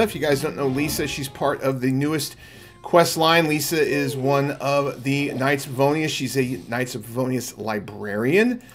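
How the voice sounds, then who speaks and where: Male game streamer, middle-aged. Conversational and explanatory, mid-range voice, recorded at home over soft game music. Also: mid-range voice. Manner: chatty, explanatory, addressing viewers. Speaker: game streamer, channel host. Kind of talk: casual livestream gameplay commentary. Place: indoor room at home.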